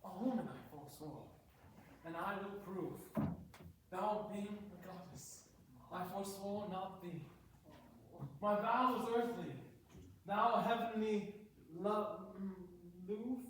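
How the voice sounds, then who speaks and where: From far off in a noisy, echoing room, a man plays an earnest young lord smitten with love. He declaims Shakespearean stage verse slowly and fervently in a high voice. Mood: ardent. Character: earnest lovestruck young lord reciting his sonnet. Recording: very echoey, distant, noisy room.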